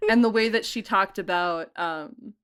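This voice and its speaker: A woman giving a casual interview as an audio drama director, talking in an animated, chatty way that wanders into asides. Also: mid-range voice, measured pace, clear, resonant tone. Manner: animated, chatty, digressive storytelling.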